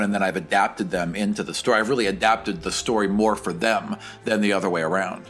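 A man's voice: lowish voice, fairly bright tone. A young man who runs a tabletop game, answering interview questions in a casual, rambling way with many fillers. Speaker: dungeon master and game creator.

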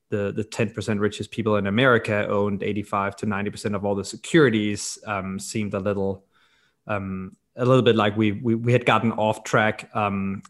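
Casting: man, 30s, from Denmark, fairly low voice, informal founder explaining things in a conversational interview. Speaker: podcast guest, company co-founder and CEO.

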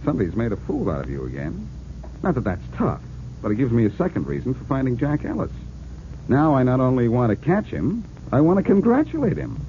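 An actor playing a cocky, wisecracking amateur detective in an old-time radio drama, teasing and brashly confident. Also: male voice, mid-range voice, wildly swooping pitch, a little fast. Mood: mocking. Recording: muffled narrow-band vintage radio recording, hiss.

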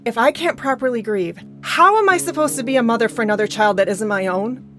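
A woman's voice: on the high side, animated, a little fast, fairly bright tone. A woman playing a guilt-ridden, grieving and protective surrogate mother in an audio drama, speaking in an emotional, troubled way. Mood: anguished. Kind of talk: naturalistic audio drama acting. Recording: guitar music under dialogue. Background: plucked guitar music.

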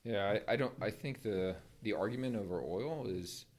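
Middle-aged veteran guest, very low voice, measured and firm. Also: male voice, a little mellow. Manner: measured, plain-spoken, firm opinions. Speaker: podcast guest, military veteran. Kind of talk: casual interview chat.

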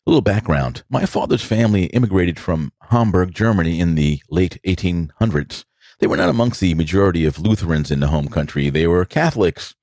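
Older new york guy ranting on his podcast, low voice, dry close sound. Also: expressive, a little fast, a little mellow, no echo. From New York City. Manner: blunt, sarcastic, opinionated. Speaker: podcast host. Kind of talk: opinion commentary monologue.

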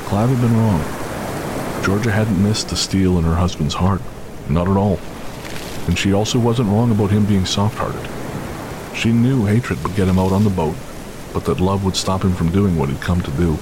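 A man's voice: sits low, normal speed, background noise. A man narrating a scripted audio drama in a dramatic storytelling style. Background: loud music with rain and thunder.

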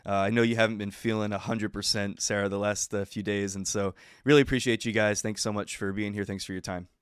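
A man's voice: lowish voice, fairly even intonation, quick pace, clear, resonant tone, no echo, studio quality. A man hosting an interview over a video call, upbeat and enthusiastic. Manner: enthusiastic, warm thanks, frequent fillers. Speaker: podcast host.

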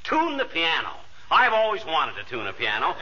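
An actor playing a restless, boastful husband with a blustery, folksy American manner in a 1940s radio comedy. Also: male voice, on the high side, wildly swooping pitch, moderate pace, bright, forceful tone, full character voice. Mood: insistent. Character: restless, stubborn, boastful bumbling husband eager to fix things. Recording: dull, noisy vintage radio recording.

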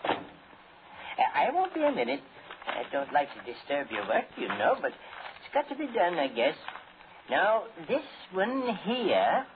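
A man's voice: sits high, wildly swooping pitch, a little slow, heavily acted. An actor playing a chuckling, eerily polite old coffin salesman in a 1940s radio horror play. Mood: folksy, insistent. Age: older. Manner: folksy, courteous, chuckling. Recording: muffled, noisy 1940s radio recording.

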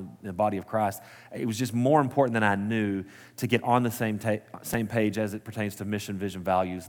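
A man's voice: fairly low pitch, long reverb tail. A man, preaching a sermon in a casual, earnest way that restarts and corrects itself. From Texas. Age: 30s. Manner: conversational, self-correcting, earnest. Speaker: pastor. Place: church sanctuary.

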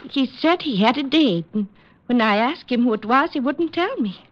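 High-voiced worried mother. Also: expressive, moderate pace. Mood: polite, worried. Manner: anxious, earnest. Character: anxious, worried mother of a troubled son. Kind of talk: 1950s radio drama acting. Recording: muffled old radio recording.

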